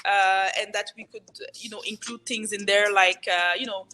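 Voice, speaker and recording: Earnest bright-voiced Congolese-born woman. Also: mid-range voice, fairly even intonation, slight background noise. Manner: earnest, halting with repeated restarts. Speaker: borough mayor, guest. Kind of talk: radio interview conversation. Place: radio studio.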